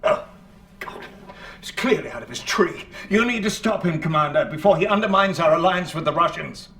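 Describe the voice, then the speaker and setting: An excitable British military commander in a game cutscene. His voice is high and very animated, quick to exclaim. It sounds roomy and a little away from the mic. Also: distant mic. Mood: alarmed, exasperated. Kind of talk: acted video game cutscene dialogue.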